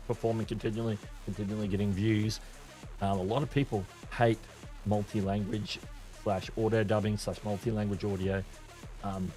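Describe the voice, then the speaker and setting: Male live-stream host, lowish voice, rambling and casual. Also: a little mellow. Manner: rambling, many restarts and fillers; reads viewer chat aloud.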